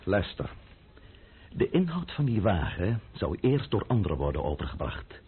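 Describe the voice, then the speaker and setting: A middle-aged French count in a radio drama, imperious and blustering. The voice is very rough and husky and swings widely in pitch as he lectures with sardonic impatience. The old recording is muffled and narrow. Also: very animated, moderate pace, full character voice. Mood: commanding, wry. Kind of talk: radio play acting.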